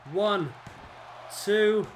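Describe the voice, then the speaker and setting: A man, his voice a little hoarse, doing casual play-by-play commentary over a wrestling video game, rambling with fillers and correcting himself. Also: high voice, drawn-out words, background noise. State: slightly hoarse, losing his voice. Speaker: gameplay commentator.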